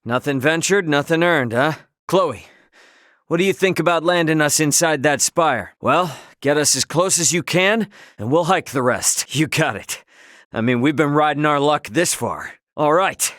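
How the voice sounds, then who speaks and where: An actor playing a brash, easygoing young male starship captain in a video game, with a cocky, informal and expressive delivery. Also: on the high side, moderate pace, dry recording, studio quality, natural voice. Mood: casual, determined. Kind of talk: video game character dialogue.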